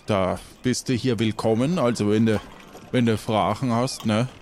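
A man's voice: on the low side, fairly even intonation, moderate pace, a little mellow, slight background noise, lightly acted. A man playing a friendly, simple-hearted harbour local with a hesitant, folksy manner in an improvised audio play. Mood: welcoming, hesitant.

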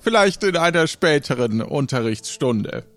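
A man narrating an improvised audio drama as game master, in a measured storytelling way. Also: on the high side, lively intonation, fairly bright tone, faint music behind.

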